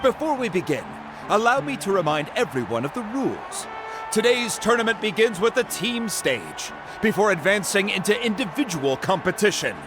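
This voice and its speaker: High-pitched, showy arena announcer. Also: male voice, very animated, over music. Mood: grand, formal. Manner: projected, theatrical, drawn-out endings. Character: booming, showy tournament announcer, energetic and formal. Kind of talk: arena announcing in a video game.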